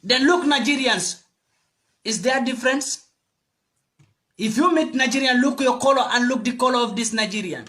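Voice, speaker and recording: A man's voice: extremely high pitch, moderate pace, long pauses, bright, forceful tone. A young man with a West African accent giving an impassioned, emphatic and repetitive political monologue, exhorting his listeners. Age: young adult. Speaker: political activist addressing African youth.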